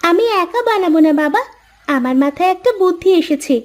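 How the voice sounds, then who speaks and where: A woman's voice: very high voice, animated, fairly quick. A woman voicing a young village farmer's daughter in a cartoon, earnest, caring and sweetly persuasive. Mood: confident. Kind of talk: Bengali moral-story cartoon voice acting.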